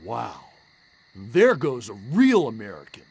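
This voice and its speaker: A man's voice: mid-range voice, wildly swooping pitch, takes it slowly, creaky, a little mellow, slightly rough, heavily acted. A man playing a restless, moody 1950s small-town teenage rebel, overwrought and melodramatic, in a video game. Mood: gullible, awed. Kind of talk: video game cutscene acting.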